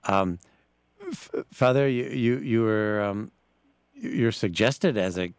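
Halting male radio host, low dry voice. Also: clear, resonant tone, no echo. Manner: halting, hesitant, stammering delivery. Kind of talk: casual live radio interview. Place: radio broadcast from a church.

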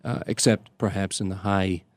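An older priest reminisces in a rambling, unhurried way, stopping and circling back with plenty of ums and uhs. His voice sits low, and the sound is dry, with no room echo. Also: fairly even intonation. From Chicago area. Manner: rambling, halting, many restarts and fillers. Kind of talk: conversational storytelling.

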